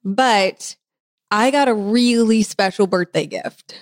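A talkative woman in her thirties chats on a podcast, laughing easily as she talks. Her voice carries a slight leftover hoarseness. The sound is dry and close, with no room echo. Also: medium pitch, slow pace. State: slightly hoarse, recovering from laryngitis. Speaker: podcast co-host. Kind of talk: casual chat.

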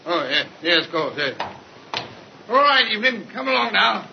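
An actor playing a mild, patient English husband, placating and a little fussy, in an old radio drama. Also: male voice, high-pitched, big pitch swings, normal speed, vocal fry, full character voice. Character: mild, patient English husband, older than his wife. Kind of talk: 1950s radio drama acting. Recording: muffled, noisy old radio recording with clicks.

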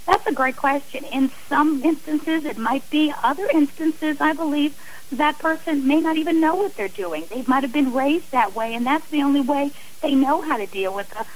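A woman's voice: high voice, moderate pace, poor audio. A woman speaking as an interview guest on radio, earnest and emphatic, explaining with examples and repeated phrasing. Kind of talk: radio interview, personal and advisory talk.

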